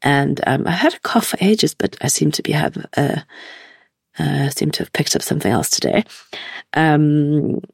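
A woman in her forties with a croaky voice from a cold, hosting a reflective solo podcast and musing gently with many hesitations. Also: sits low, expressive, moderate pace, no echo, clean studio sound. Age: 40s. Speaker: podcast host.

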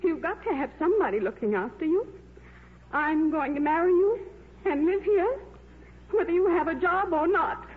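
A woman's voice: very high voice, lively intonation, moderate pace. An actress playing a caring, fretful young woman in an old radio drama, emotional and insistent. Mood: pleading, determined. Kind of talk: 1950s radio drama acting. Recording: muffled reverberant old radio, hum.

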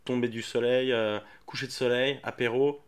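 A man, a comedian guest on a podcast, talking in a playful, animated way, laughing often and acting out little scenes. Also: medium pitch, moderate pace, smooth. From Geneva, Switzerland. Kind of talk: casual podcast chat.

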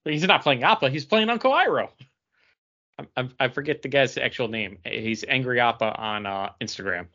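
A man's voice: mid-range voice, expressive, fairly quick, bright, forceful tone. A man co-hosting a podcast, chatting in a casual, informal style. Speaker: podcast co-host. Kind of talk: casual chat. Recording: dull, lo-fi audio.

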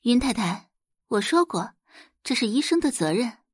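A woman playing a poised young female doctor in an audio drama, polite, measured and self-assured. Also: fairly high pitch, sing-song, slightly rough, no room sound, clean studio sound, voice pushed up. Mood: composed, modest.

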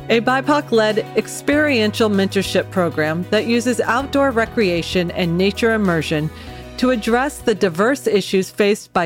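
A woman hosting a podcast, reading a scripted introduction in an earnest, measured way before interviewing a guest. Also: mid-range voice. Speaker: podcast host.

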